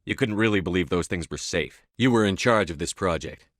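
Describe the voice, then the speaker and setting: A male actor plays a commanding military hero from a sci-fi video game. His voice is low and recorded dry and close. He speaks in blunt, clipped phrases with stern authority and steady resolve. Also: a little fast, no echo, lightly acted. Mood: accusing, resolute. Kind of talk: video game dialogue acting.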